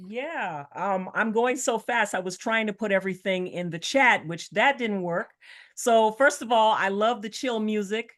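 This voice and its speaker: A middle-aged woman who was born in Jamaica and grew up in the United States. She talks as a real estate coach on a video call. She is chatty and rambling, and her voice sits at an ordinary mid pitch. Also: a little slow, a bit bright. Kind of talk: casual webinar talk.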